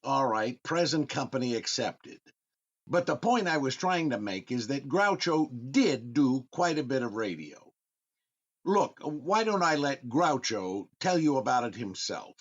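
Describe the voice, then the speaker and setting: A man's voice: medium pitch, sing-song, measured pace. A man playing a genial, mildly vain old-time radio comedian delivering a conversational monologue. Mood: genial, musing. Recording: dull, band-limited microphone.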